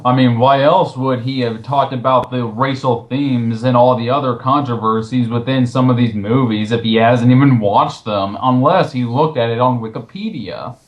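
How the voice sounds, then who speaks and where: A man's voice: mid-range voice, moderate pace, hardly any pauses, highs cut off. Male commentary host, mid-range voice, casual delivery with a sarcastic, disbelieving edge. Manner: sarcastic, incredulous. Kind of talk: casual commentary with read-out quotes.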